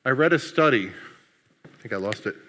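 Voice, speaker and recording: A man's voice: mid-range voice, expressive, fairly quick, sharp tone. Joking man on a conference stage. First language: Greek. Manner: playful, joking with the audience. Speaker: conference speaker. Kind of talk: humorous keynote talk. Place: conference hall. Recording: long hall reverb.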